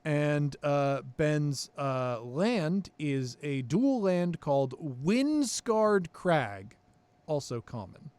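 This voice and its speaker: Slow, smooth-voiced man chairing. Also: on the high side, very animated, darkish tone. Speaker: host chairing a mock budget meeting. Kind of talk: improvised comedy role-play chat on a livestream.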